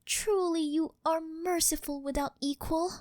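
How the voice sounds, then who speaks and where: A woman's voice: extremely high pitch, steady tone, a little slow, a little mellow, no room sound, studio quality. A woman voicing a possessive, worshipful living doll maid in an intimate ASMR roleplay, speaking in a formal, fawning, melodramatic way. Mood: apologetic, self-abasing. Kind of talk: scripted ASMR roleplay, intimate monologue to the listener.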